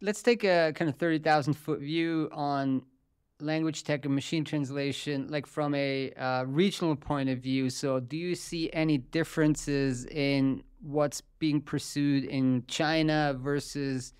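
A man in his thirties hosts a podcast from a home office, speaking into a studio mic. His voice is very smooth and clear, slightly higher than most men's, and the sound is dry. He asks loose questions and rephrases them as he goes. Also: steady tone, a little slow, dry recording. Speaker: podcast host. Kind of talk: interview questions. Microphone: studio mic on arm.

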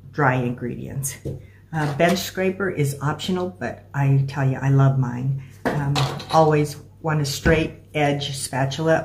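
A middle-aged American woman giving a casual, step-by-step cooking demonstration in a halting, explanatory way. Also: a bass voice, a little mellow. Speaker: home cooking instructor. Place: small room at home, indoors. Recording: clear background noise with clicks.

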